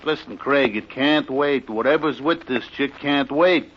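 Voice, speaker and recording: A pushy American hack driver, acted by a man, blunt and full of street slang, at a medium pitch. The sound is that of a dull, vintage radio play. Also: measured pace, natural voice. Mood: pushy, sly. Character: pushy, slangy New York male cab driver. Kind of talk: 1950s radio drama acting.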